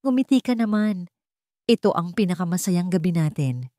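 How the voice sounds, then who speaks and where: Woman acting a scheming jealous heiress, Filipino accent, lowish pitch, falsely sweet, gloating, dry close audiobook sound. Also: lively intonation, normal speed, mellow, dry recording, natural voice. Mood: possessively sweet. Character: scheming, vain, coldly jealous older sister and heiress. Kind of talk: audiobook villain monologue.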